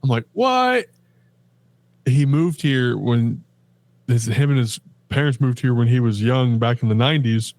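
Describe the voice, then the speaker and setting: A man's voice: mid-range voice, expressive, measured pace, darkish tone, no echo. A middle-aged man co-hosting a podcast, telling a story about his day in a rambling, chatty way with lots of fillers. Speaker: podcast co-host. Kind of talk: casual chat.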